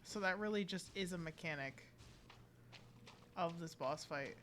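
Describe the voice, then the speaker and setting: A woman's voice: on the low side, unhurried, a little hiss or hum. A woman doing casual live gameplay commentary as a streamer, rambling and thinking aloud. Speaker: game streamer. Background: loud video game music and battle sounds.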